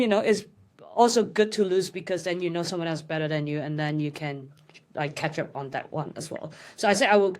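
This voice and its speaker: A young woman from Hong Kong, a guest in a casual interview, talking thoughtfully with frequent fillers and restarts. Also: lowish voice.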